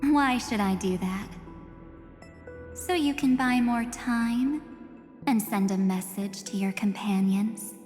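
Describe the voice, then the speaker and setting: An actress playing a cold, self-assured, enigmatic female antagonist in a video game, speaking in measured, coolly threatening phrases. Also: on the high side, darkish tone, faint music behind. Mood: cool, dismissive. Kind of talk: dramatic video game voice acting. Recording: long cavernous reverb.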